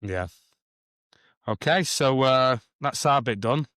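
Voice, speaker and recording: A man's voice: mid-range voice, slow pace, a bit bright, no echo, clean studio sound. Hesitant man co-hosting a podcast. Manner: hesitant, repeats words. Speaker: podcast co-host. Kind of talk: casual podcast chat.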